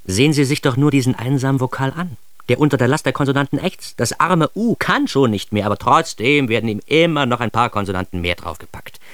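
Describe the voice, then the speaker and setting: Wry, neurotic radio-drama narrator, played by a man: standard German, lowish, very animated, dry close sound. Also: a little fast, no echo, lightly acted. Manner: wry, exasperated, theatrical. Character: self-mocking, neurotic man recounting his own childhood.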